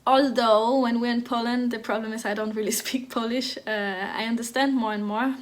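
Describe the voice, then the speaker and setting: A woman's voice: on the high side, fairly quick. A woman in her thirties from Denmark, hosting a knitting podcast in a casual, hesitant way, with many fillers and restarts. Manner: even, flat delivery. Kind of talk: casual chat.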